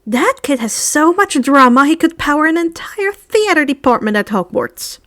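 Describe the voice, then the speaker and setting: Woman playing blunt teenage warrior girl, high-pitched. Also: sing-song, normal speed, a bit bright, dry recording, clean studio sound. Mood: dry. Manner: blunt, unbothered. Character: dramatic, fierce, nonchalant thirteen-year-old warrior-folk girl.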